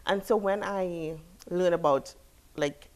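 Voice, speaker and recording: Woman from trinidad and tobago, deep-ish voice, laid back, lots of "you know", studio lapel mic. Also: slow pace, poor audio. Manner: relaxed, frequent "you know" and "like". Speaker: guest, fitness expert. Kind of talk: casual interview talk. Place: TV studio, seated.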